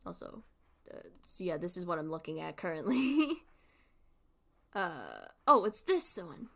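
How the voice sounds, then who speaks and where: A woman's voice: on the low side, sing-song, a little slow, creaky, a bit bright. Girl streaming casual commentary, takes her time, kinda rambly n giggly, very informal.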